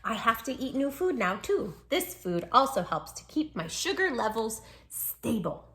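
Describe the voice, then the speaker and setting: A woman's voice: on the high side, big pitch swings, measured pace, a bit bright, heavily acted. A woman voicing a sweet, plucky little male dachshund who tells his own storybook tale with earnest, dramatic emphasis. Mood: plucky, reassuring. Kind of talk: children's storybook read-aloud.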